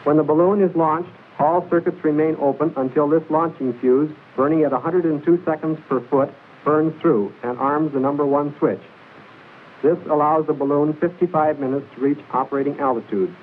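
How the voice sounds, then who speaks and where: A man narrates an old military training film in a formal, measured, precise instructional style, reading from a script. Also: fairly high pitch, steady tone, phone-quality sound. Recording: hissy band-limited 1940s film soundtrack.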